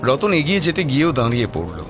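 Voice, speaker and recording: A man with a medium-pitched voice narrates a horror audio story. His delivery is scripted storytelling, with clearly audible music underneath. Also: sing-song, fast talker.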